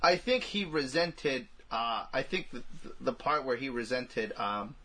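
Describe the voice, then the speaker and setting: Bright-voiced man chatting as podcast co-host. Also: mid-range voice. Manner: halting, repeats himself, slangy. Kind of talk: casual film discussion.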